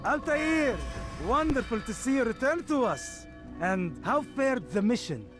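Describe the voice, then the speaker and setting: An actor playing a reproachful, exasperated assassin who scolds a comrade, over game music. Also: male voice, very high voice, lively intonation, a little slow. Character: rival male assassin, sharp and scolding. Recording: game cutscene over loud music score.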